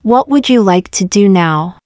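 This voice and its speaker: Woman reading english drill questions, medium pitch, dry sound, clear and measured. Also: animated, a little mellow, dry recording. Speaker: language lesson reader. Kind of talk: slow repeated example questions for learners.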